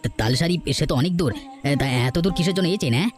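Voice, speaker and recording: A suspicious, grumbling householder from a cartoon village tale , voiced by a man. He speaks curtly and very fast in a slightly high voice. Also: animated, music in the background, lightly acted. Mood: wary. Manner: curt, grumbling. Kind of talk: Bengali cartoon folk-tale dialogue acting.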